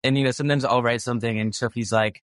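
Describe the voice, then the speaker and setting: A man co-writing a book, upbeat and quick to laugh in a casual interview. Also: medium pitch, steady tone, dry recording, clean studio sound. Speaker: guest co-author.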